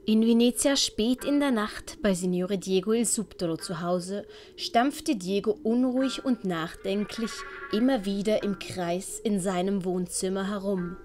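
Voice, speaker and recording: A woman's voice: mid-range voice, measured pace, few pauses, darkish tone, soft background music. A woman narrating an audio drama in scripted storytelling style.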